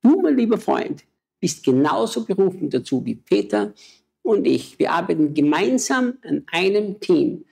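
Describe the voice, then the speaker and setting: Gravelly, husky preaching man. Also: high-pitched, very animated, moderate pace, darkish tone. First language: German. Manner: emotional, urgent, exhorting. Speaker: missionary preacher. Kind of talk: impassioned preaching in conversation.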